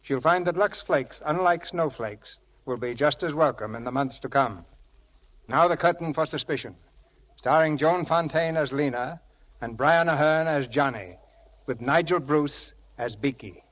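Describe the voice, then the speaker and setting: A man presenting scripted 1940s radio theatre as host and narrator, with grand, measured showmanship. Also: on the high side, a bit bright, lo-fi sound. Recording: vintage radio broadcast with music.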